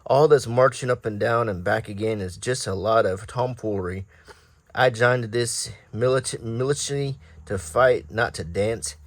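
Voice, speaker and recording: A man's voice: medium pitch, measured pace, no room sound, close to an everyday voice. A man voicing a stubborn, folksy backwoods recruit from a comic strip, grumbling in rustic dialect. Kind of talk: comic-strip read-aloud.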